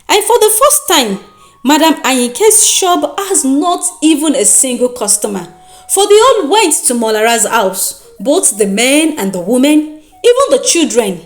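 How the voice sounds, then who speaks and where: A woman with a Nigerian accent narrating a folktale in a dramatic, expressive and deliberate storytelling manner. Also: sits high. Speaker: storyteller and host.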